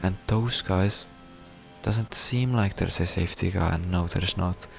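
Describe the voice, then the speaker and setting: A Finnish man commentating a sim race in English, a second language for him, with a halting, stuttering delivery. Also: low-pitched, vocal fry, soft background music. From Finland. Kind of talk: live sim racing commentary.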